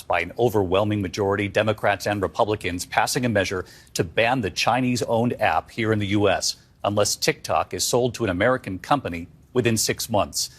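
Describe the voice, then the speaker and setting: A male news anchor reads a scripted report in a measured, authoritative way. His voice sits low and has a husky edge. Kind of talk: scripted broadcast news read.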